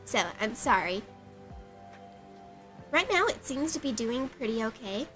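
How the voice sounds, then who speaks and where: Stuffy-nosed high bright young woman. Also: big pitch swings, a little slow. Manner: giggly, chatty, apologetic. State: stuffed-up nose, sniffling. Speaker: art livestreamer. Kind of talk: casual live-stream chat. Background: faint background music.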